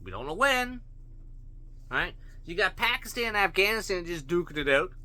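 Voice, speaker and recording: A man's voice: sits high, very animated, normal speed, bright, forceful tone. A man ranting bluntly to his viewers, profane and full of rhetorical questions. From Canada. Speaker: vlogger. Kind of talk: opinion rant to camera.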